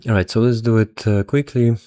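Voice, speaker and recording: A man's voice: on the low side, steady tone, brisk, warm tone, no room sound, clean studio sound. A man who sounds a little tired, casually thinking aloud as an investor working through valuations, hesitant and half muttering to himself. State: somewhat tired. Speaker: investor explaining stock valuation.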